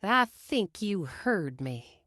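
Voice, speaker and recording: A woman voicing a cocky young male race car who speaks in a slow, smug drawl. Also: mid-range voice, very animated, fairly bright tone, no echo, clean studio sound, exaggerated voice.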